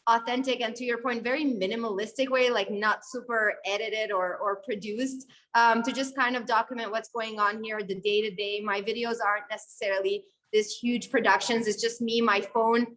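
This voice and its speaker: A young woman from Syria, a guest videographer, telling her story in a lively, conversational interview answer with many fillers and restarts. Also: on the high side, moderate pace, hardly any pauses, a little hiss or hum, low-quality recording.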